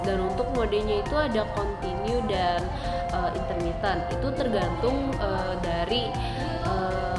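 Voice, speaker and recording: A young woman giving an instructional presentation, listing technical terms with pauses between them. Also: on the high side. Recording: echoey room, music behind. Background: background music.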